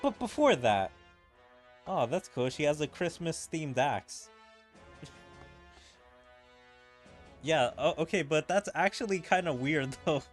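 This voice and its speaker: A man's voice: on the high side, very animated, faint music behind. A young man streaming a game, chatting in an amused, casual way about what happens on screen. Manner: chatty, amused, quick laughs. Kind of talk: casual gameplay commentary.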